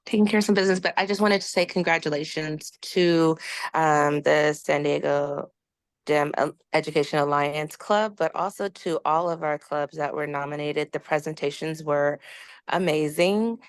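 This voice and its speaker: A woman speaking at an online meeting, halting often with fillers as she congratulates the clubs. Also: sits low, clear, resonant tone, dry recording. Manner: warm, halting with many fillers. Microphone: video call.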